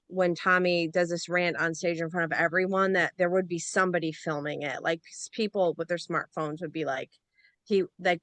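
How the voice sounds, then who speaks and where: A woman's voice: on the low side, pushed and bright, no echo. A woman on a reading panel giving casual feedback, tentative and peppering her sentences with filler words. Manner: tentative, frequent use of "like".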